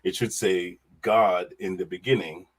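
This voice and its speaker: A middle-aged man from Jamaica, a guest on a podcast, talking casually about faith and his life, animated and repeating his words, often laughing. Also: sits low, unhurried, husky.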